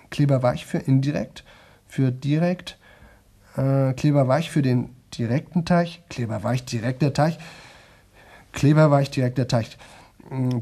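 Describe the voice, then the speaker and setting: A man's voice: medium pitch, vocal fry, dark tone. An actor playing a chatty young man who rambles nonstop to an audience in a radio play, colloquial, digressive and often interrupting himself. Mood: muddled, searching. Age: young adult. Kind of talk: 1970 German radio drama monologue.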